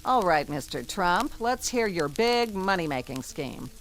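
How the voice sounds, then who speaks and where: An actress playing a sharp-tongued, domineering wife in a radio drama, sarcastic and bossy in every phrase. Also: female voice, lowish voice, big pitch swings, measured pace, soft background music. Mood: scornful.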